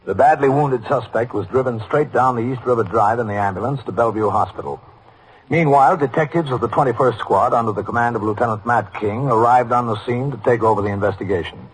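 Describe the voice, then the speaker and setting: An American actor playing a stern, skeptical middle-aged police captain in a 1950s radio drama, speaking in a deliberate, matter-of-fact way. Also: male voice, medium pitch, close to an everyday voice. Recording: noisy old radio drama recording.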